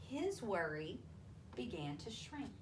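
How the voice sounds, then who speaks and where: A woman reading a children's storybook aloud to families, with expressive, deliberate delivery and drawn-out emphasis. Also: mid-range voice. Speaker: school superintendent reading a picture book aloud to families. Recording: roomy, distant mic with faint noise.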